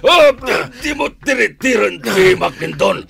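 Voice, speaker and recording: A man's voice: high-pitched, very animated, a little slow, creaky, raised voice, very noisy, exaggerated voice. An actor playing a wrathful middle-aged father and doting husband in a radio drama, blustering in a Filipino accent. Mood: enraged. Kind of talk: melodramatic radio drama acting.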